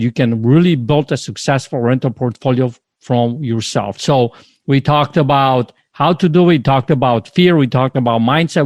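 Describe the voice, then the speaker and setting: A man's voice: mid-range voice, darkish tone, dry recording. A man hosting a podcast interview, upbeat and enthusiastic as he introduces his guest. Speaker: podcast host.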